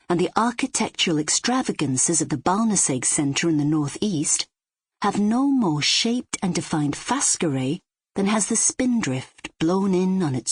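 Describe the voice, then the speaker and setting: A Scottish woman narrates a literary audiobook in a measured, descriptive way. Her voice sits fairly low and has a slightly husky edge. The recording is very dry and close. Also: no room sound.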